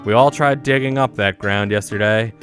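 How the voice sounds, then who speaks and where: A man's voice: fairly low pitch, normal speed, fairly bright tone, soft background music, lightly acted. Man reading as a playful, eager teenage schoolgirl. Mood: eager, teasing.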